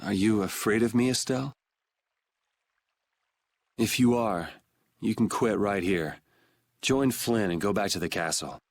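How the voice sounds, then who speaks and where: A man's voice: on the low side, a little slow, pauses often. A man playing a cynical, defiant young vigilante swordsman in a dubbed anime game, with a blunt, sardonic delivery. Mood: quiet, testing.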